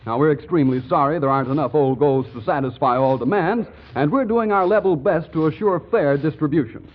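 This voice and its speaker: A man working as a radio announcer, delivering a commercial pitch in 1940s broadcast style. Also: fairly quick, highs cut off.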